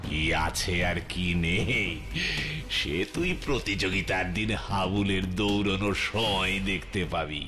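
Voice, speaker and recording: Deep-voiced cunning cartoon magician. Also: male voice, measured pace. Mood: boastful, mysterious. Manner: haughty, chanting spells, cackling. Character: cunning greedy male magician, bargaining and boastful. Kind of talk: cartoon voice acting. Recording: loud music behind the voice.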